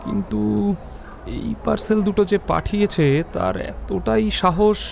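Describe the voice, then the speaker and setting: A dutiful police officer in an audio drama, reporting respectfully to a superior. The voice is high for a man and noticeably creaky, over faint urban background noise. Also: moderate pace, a little mellow. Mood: earnest. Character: deferential police officer reporting to his chief.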